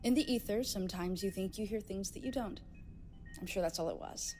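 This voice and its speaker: Woman acting mellow, composed, evasive clinic staffer. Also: medium pitch, fairly quick, faint music behind, close to an everyday voice. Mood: smooth, evasive. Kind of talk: horror audio drama acting.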